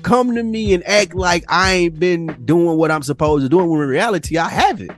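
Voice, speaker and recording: A man co-hosting a casual podcast chat, animated and profane, his voice lifting into a sing-song when he gets worked up, with music underneath. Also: high voice, moderate pace. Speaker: podcast co-host.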